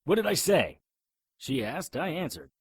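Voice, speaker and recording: A man's voice: on the high side, big pitch swings, normal speed, slightly rough, heavily acted. A man playing a blunt, skeptical private investigator in an audio drama, wry and urgent. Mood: defiant. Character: gruff, skeptical amnesiac private investigator hero.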